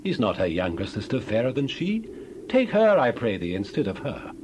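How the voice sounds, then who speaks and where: Man acting placating, lively-voiced father. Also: mid-range voice, moderate pace, a little hiss or hum. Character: apologetic, placating father of a bride. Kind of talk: dramatized Bible reading.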